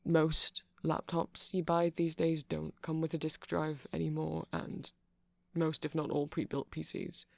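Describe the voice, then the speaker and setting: A man's voice: on the high side, completely flat delivery, unhurried, creaky, dry recording, clean studio sound. A man playing a nerdy, awkward, affectionate vampire, rambling hesitantly in an ASMR roleplay. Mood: earnest, rambling.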